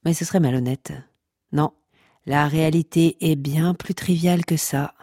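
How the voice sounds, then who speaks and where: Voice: mid-range voice, steady tone, normal speed, warm tone, no echo, clean studio sound, natural voice. Une personne qui joue la narration omnisciente d'une fiction audio comique, pompeuse, théâtrale, taquine avec son auditoire. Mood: mock-serious. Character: pompous, mock-scholarly omniscient narrator addressing listeners. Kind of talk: scripted comic fiction narration.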